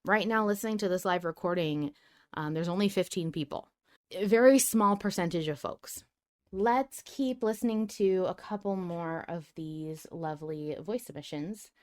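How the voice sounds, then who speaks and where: Woman podcast host, smooth lowish voice, chatty, self-interrupting, casual live discussion. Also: a little mellow. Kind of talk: casual live discussion reading listener comments.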